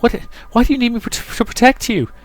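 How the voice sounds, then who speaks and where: A man's voice: very high voice, a little fast, voice pushed up. A man voicing an anxious, caring young woman who pleads urgently with her friend in a visual novel. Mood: alarmed, urgent.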